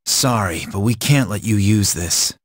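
A man's voice: fairly low pitch, animated, a little slow, a little hiss or hum. A man playing a serious, composed teenage fighter in a video game, speaking firmly and matter-of-factly.

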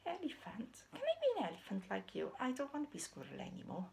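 A woman's voice: high voice, wildly swooping pitch, fast talker, vocal fry, a little mellow, a little hiss or hum, pitched above the performer's own voice, heavily acted. A woman voicing a restless young female squirrel in a puppet story, earnest and pleading.

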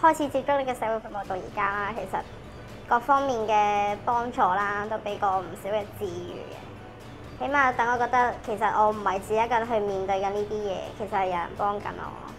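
A woman, a patient speaking softly and reflectively about her experience. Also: mid-range voice, brisk, faint music behind. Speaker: patient in a support program. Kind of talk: personal testimony.

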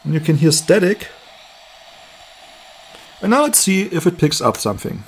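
A man with a slightly husky voice giving an unscripted hands-on electronics demonstration, explaining step by step as the presenter. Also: fairly high pitch, very animated, moderate pace, slight background noise. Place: indoors at a table.

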